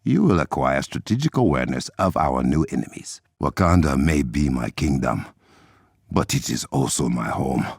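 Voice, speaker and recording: A man playing a noble, resolute warrior king in a video game, with an African accent, a deep gravelly voice and formal, measured diction. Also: lively intonation, no room sound, clean studio sound, exaggerated voice. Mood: calm, resolute.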